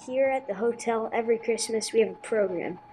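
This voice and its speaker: A woman's voice: on the high side, brisk, warm tone, a little hiss or hum, close to an everyday voice, low-quality recording. A woman playing a male clerk at a shabby hotel in an amateur radio drama, casual and clipped. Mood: laconic, casual. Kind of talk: 1950s radio drama acting, amateur church production.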